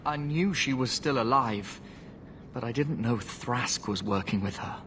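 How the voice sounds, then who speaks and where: A man's voice: mid-range voice, unhurried, fairly bright tone, slight background noise, natural voice. A man playing a kindhearted, remorseful young mage in a video game, hesitant and earnest. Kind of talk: dramatic video game acting.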